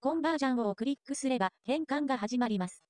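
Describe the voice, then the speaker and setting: A woman reading scripted narration for a software tutorial. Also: high-pitched, lively intonation, rapid-fire, no echo, studio quality.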